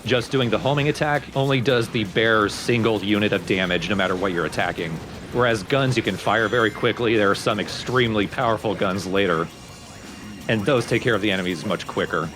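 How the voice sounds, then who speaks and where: Casting: male let's play host, on the deeper side, wry explainer over game music. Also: fairly quick.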